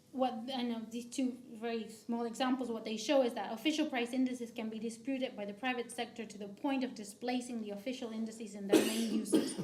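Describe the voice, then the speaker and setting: A woman from Argentina giving academic commentary as a discussant, halting often with restarts and fillers. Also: a little high, darkish tone, roomy sound, slight background noise. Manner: hesitant, many restarts and fillers. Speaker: discussant at a book talk.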